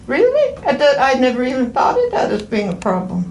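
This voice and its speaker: An elderly woman in her eighties talks casually in an echoey room with faint noise behind her. She rambles in a halting way, restarting and repeating phrases as a patient explaining herself. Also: high voice, huge pitch swings, darkish tone. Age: 80s. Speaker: podiatry patient. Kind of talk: casual chat during an appointment.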